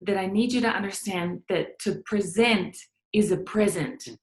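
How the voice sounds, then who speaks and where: An Australian woman speaking as an interview guest, expressive and emphatic in a conversational style of storytelling and advice. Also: medium pitch, normal speed.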